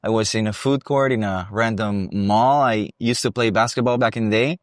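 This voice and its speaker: A young man from Costa Rica with a Costa Rican accent, talking about his dance career in a relaxed, thoughtful interview. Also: fairly low pitch, normal speed, dry recording, studio quality. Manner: relaxed, thoughtful, with frequent restarts. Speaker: guest, salsa dancer and teacher. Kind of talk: casual interview. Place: salsa congress venue.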